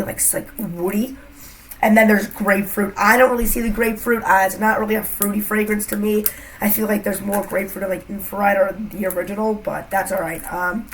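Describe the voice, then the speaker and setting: A young woman with a slight cold talks casually to her camera, her voice a little stuffy. She rambles from thought to thought, leaning on "like" and "um", at an ordinary middle pitch. A faint noise sits under her voice. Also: rough recording. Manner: rambling, filler-heavy, with lots of "like" and "um". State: has a cold, a bit congested. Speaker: YouTuber reviewing fragrances.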